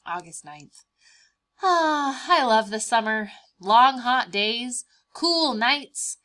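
Woman acting out a young praying mantis, picture-book journal, cheerful, boastful, bright, swooping pitch, drawn-out emphasis. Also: heavily acted. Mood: cheerful, dramatic. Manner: expressive, emphatic, drawn-out words. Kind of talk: children's picture-book read-aloud.

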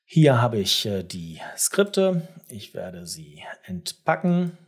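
A middle-aged man with a husky voice walks the viewer through software step by step. His pitch moves up and down in a lively way as he explains and addresses the listener directly. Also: slow pace. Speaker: tutorial presenter.

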